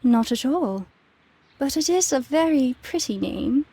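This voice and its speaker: Higher-pitched, polite young lady researcher. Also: expressive, dark tone, slightly rough, exaggerated voice, rough recording. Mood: polite, composed. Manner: polite, measured, precise. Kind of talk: scripted game dialogue, giving testimony.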